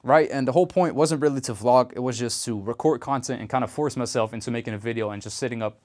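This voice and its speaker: A man's voice: mid-range voice, quick pace, darkish tone. A young Dutch man speaking English with a Dutch accent, rambling in a casual, slangy vlog to camera. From Netherlands. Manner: rambling and laid-back, fillers, restarts, slangy. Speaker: vlogger.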